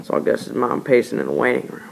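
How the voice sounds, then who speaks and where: An amateur reading a novel aloud in a halting, informal way, on a noisy home recording. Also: medium pitch, big pitch swings, creaky. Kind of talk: amateur read-along narration of a novel.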